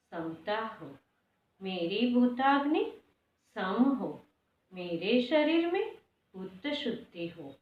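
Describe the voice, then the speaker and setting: A woman leading a guided meditation, speaking prayers and affirmations slowly and deliberately in short, repeated phrases. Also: medium pitch. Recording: echoey room, distant mic, dull sound.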